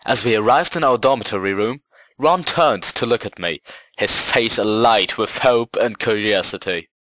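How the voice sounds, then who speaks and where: A man reading as a self-satisfied revolutionary narrator in a scripted story, measured and earnest. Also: on the low side, fairly bright tone, no echo, lightly acted. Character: smug first-person schoolboy narrator, a self-satisfied revolutionary.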